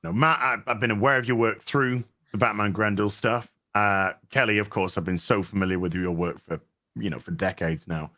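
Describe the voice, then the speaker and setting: Bright-voiced male stream host. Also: on the low side, steady tone, normal speed. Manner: rambling, frequent restarts. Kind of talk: casual livestream chat, reading donations.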